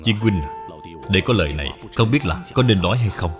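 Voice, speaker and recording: A man's voice: lowish voice, animated, fairly quick, over music, lightly acted. A man as a courteous, principled cartoon father. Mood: earnest, moralizing. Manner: formal, respectful. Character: courteous, principled father, scholarly younger friend. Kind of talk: cartoon dub.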